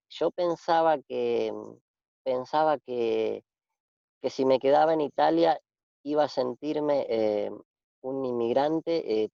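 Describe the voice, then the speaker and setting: A man in his forties from Argentina, with an Argentine Spanish accent, talking reflectively in a casual interview, pausing and restarting often. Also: medium pitch, vocal fry, no echo. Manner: reflective, halting with many restarts and fillers. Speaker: interview guest. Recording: dull, dry lo-fi sound.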